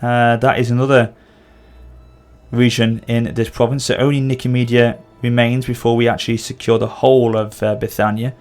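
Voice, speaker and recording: A man's voice: mid-range voice, little pitch movement, moderate pace. A man with a sore throat and occasional cough, casually commenting as he plays a strategy game. He thinks aloud and corrects himself as he goes. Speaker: gameplay channel host.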